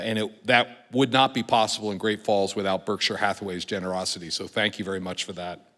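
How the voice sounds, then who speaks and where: A man with a bright, forceful voice of medium pitch hosts a formal event. He delivers a partly read introduction, and his voice rings through a reverberant hall. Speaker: event host introducing speakers. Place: large event hall, public address. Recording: echoey hall with long reverb.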